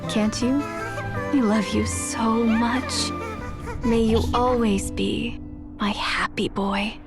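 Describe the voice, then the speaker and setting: A woman playing a devoted young mother in a game, speaking gently and wistfully in a dramatic monologue. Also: on the high side, animated, takes it slowly, loud music behind the voice. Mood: tender, wistful. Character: tender, devoted young mother addressing her baby.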